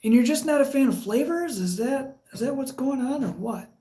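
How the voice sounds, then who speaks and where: A woman with a Michigan accent hosting a casual live stream, chatting with viewers in a relaxed, halting way with lots of fillers and restarts. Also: high-pitched. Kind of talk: casual live chat, reading viewer comments. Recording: echoey room.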